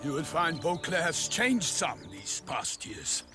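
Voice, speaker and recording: A man's voice: a little high, big pitch swings, takes it slowly, music in the background. A man voicing a proud, chivalrous knight errant in a fantasy video game, florid and dramatic, over background noise. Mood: proud, exuberant. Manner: florid, dramatic, formal storytelling.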